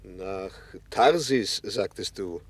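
An actor playing a troubled prophet fleeing his God by sea in a 1960s German radio play, low and husky, in slow halting phrases. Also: lively intonation, no echo, full character voice. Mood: urgent, insistent.

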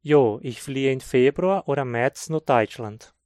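A man's voice: mid-range voice, a little mellow, no echo, clean studio sound. Man reading a Hunsrik German lesson. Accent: Brazilian Hunsrik German. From southern Brazil. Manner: slow, clear teaching delivery. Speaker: language course reader. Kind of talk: scripted textbook dialogue.

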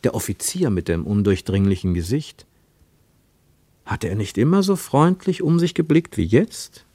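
A man narrating a literary radio play in a measured, deliberate storytelling way. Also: lowish voice, sing-song, warm tone, no room sound.

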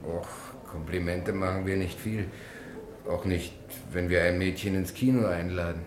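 A man's voice: deep voice, normal speed, close to an everyday voice. An actor playing a shy, earnest, polite small-town man in a German radio play, plain-spoken and hesitant. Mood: bashful, hopeful. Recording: echoey room, faint background noise.

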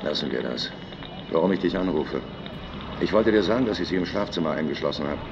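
A man's voice: extremely low pitch, animated, a little fast, vocal fry, full character voice. An actor playing a weary man phoning home in a radio crime drama, his voice muffled as if on a telephone. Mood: tense. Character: weary Harlem man calling from afar. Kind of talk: radio play acting. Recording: muffled phone call with street noise.

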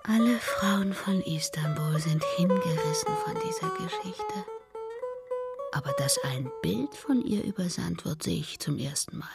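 Slow, creaky, gossipy matchmaker woman. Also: medium pitch, huge pitch swings, full character voice. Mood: knowing, savouring. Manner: theatrical, drawn-out, dropping into whispers. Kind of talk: German radio play monologue. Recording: studio radio play over plucked-string music.

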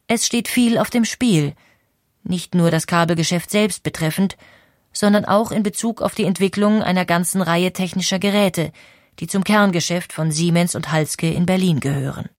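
A woman narrating a history documentary in a clear, composed storytelling manner. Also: fairly low pitch, normal speed, a little mellow, no echo, clean studio sound.

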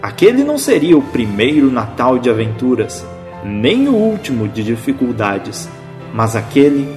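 Man as storybook narrator, lively intonation, long reverb, scripted delivery. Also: mid-range voice, normal speed, lightly acted. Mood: serene. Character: storybook narrator closing a Christmas adventure. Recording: long hall reverb, music behind.

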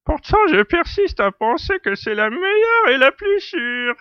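An actor playing a jovial alien native in a science-fiction audio drama, explaining a cunning plan in measured phrases. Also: high voice, very animated. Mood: confident, explaining. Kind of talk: French amateur sci-fi audio drama acting. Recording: dull, roomy, radio-like recording.

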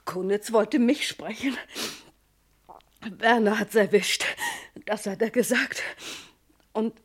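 A woman's voice: fairly high pitch, takes it slowly, husky. An actress playing a frightened mother confessing to a police inspector in a 1960s German radio crime drama. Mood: hushed, fearful.

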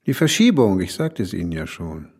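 A man playing a cryptic, formal older village man in an audio drama, speaking in a measured way with a husky voice. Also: sits low, sing-song, a little mellow, slight background noise. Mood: cryptic, calm. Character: cryptic, formal old village man hinting at dark secrets.

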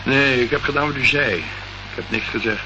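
A man's voice: mid-range voice, moderate pace, close to an everyday voice. An actor playing an uneasy, exasperated male client in a Dutch radio play, answering in short phrases. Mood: resigned. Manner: short answers, startled questions. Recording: noisy, muffled old radio recording.

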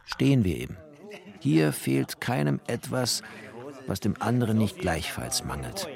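Lively, creaky male literary narrator. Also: fairly low pitch, measured pace. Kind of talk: expressive literary first-person narration.

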